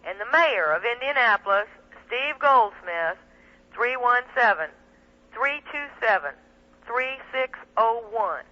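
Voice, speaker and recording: A woman's voice: on the high side, expressive, slow, bright, forceful tone, lo-fi sound. A woman speaking emphatically and indignantly as a guest in an impassioned talk radio interview. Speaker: guest, attorney and activist. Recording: band-limited 1990s shortwave radio, telephone sound.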